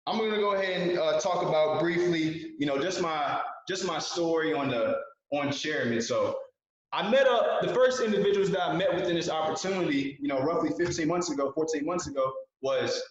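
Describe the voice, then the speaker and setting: Man, 20s, guest speaker on a video call. Earnest motivational delivery, repeats himself for emphasis. Very echoey, dull call audio. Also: a little high.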